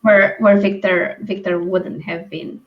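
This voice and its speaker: A woman hosting a casual book discussion over a video call, thinking aloud in halting phrases. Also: fairly low pitch, steady tone. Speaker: book read-along live stream host. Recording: dull, lo-fi stream audio.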